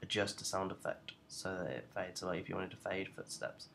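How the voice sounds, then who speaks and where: A young man giving an informal software tutorial in a hesitant, halting way. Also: low voice, vocal fry, slight background noise. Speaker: student tutorial presenter.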